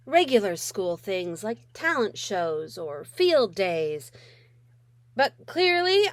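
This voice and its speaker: A woman's voice: medium pitch, dry recording. A woman author reading her middle grade novel aloud in a wry first-person voice with dramatic emphasis.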